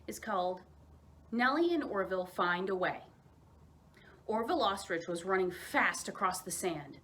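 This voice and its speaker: Animated woman reading a children's story. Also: medium pitch. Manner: expressive, dramatized reading. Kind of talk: read-aloud children's story narration.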